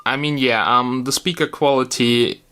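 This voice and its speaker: A man's voice: medium pitch, steady tone, normal speed, heavy background noise. A man giving a casual, unscripted product review, speaking bluntly and informally and often repeating himself as he goes. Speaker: gadget reviewer, host.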